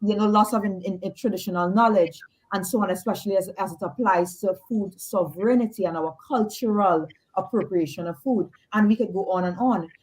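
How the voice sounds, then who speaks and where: A woman's voice: medium pitch, a little fast, few pauses, a little mellow, poor audio. A woman with a Trinidadian accent, a guest on a radio talk show, explaining research earnestly with some restarts. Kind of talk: radio interview.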